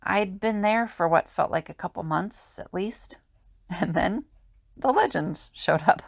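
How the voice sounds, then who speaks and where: A woman playing an earnest, candid young visionary in an audio drama, with a halting, confiding delivery. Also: medium pitch, lively intonation, creaky, no echo, studio quality. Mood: uneasy. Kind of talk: amateur audio drama acting.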